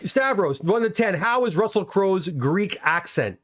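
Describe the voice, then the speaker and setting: A man's voice: sits high, animated, measured pace, a bit bright. A middle-aged man hosting a casual livestream chat, reading viewer comments aloud and reacting to them. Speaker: host.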